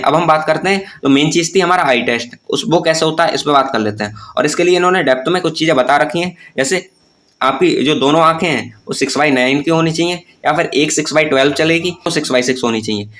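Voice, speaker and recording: A man's voice: a little high, fairly bright tone. A man giving an informal explanatory lesson to viewers, going step by step in plain terms with English words mixed in. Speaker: presenter of exam-preparation tutorials.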